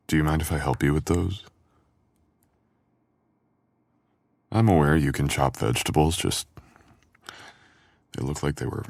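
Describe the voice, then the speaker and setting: Very deep-voiced, teasing boyfriend played by a man. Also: vocal fry, darkish tone, slightly rough, dry recording, full character voice. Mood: playful, gentle. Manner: playful, teasing, intimate. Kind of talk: boyfriend ASMR roleplay audio acting.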